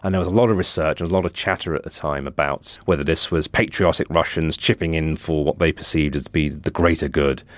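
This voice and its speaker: A man's voice: rumbling low, steady tone, fast talker, few pauses, dry recording. A British man, a technology reporter, giving discursive, informed analysis on a news podcast with frequent asides.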